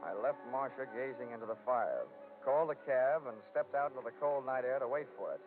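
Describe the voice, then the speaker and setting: An actor playing a wry, flirtatious, hard-boiled insurance investigator in 1940s radio drama style. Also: male voice, medium pitch, expressive, a little fast, music in the background, close to an everyday voice. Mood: wry narrating. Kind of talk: 1940s radio detective acting, first-person narration. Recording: scratchy, tinny 1940s radio recording.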